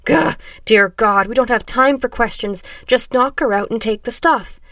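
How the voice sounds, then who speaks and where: A woman's voice: fairly high pitch, moderate pace, no echo, studio quality, recorded from a distance, close to an everyday voice. A woman voicing an angry, bossy young woman who snaps harshly and impatiently in an audiobook story. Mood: furious. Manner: harsh, snapping.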